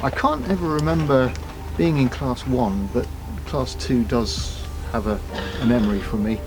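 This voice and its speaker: A man in his seventies from Guernsey, telling lively school memories with many pauses and restarts. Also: mid-range voice, music in the background, poor audio. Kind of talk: casual reminiscing.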